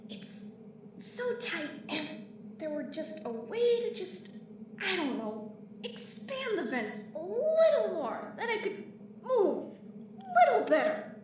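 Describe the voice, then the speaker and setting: In a very echoey, distant recording, a young crew woman, acted by a woman grumbles sarcastically in an audio drama. Her high voice swings widely in pitch, irritated and anxious. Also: wildly swooping pitch, takes it slowly, heavily acted. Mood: uneasy. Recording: echoey, distant, noisy room sound.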